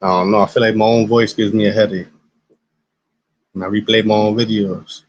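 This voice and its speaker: A man with a raspy smoker's voice, rambling casually and profanely to his live-stream chat while high. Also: level tone, a little fast, no echo. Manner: laid-back, rambling, profane. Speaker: livestreamer. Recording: dull lo-fi stream audio.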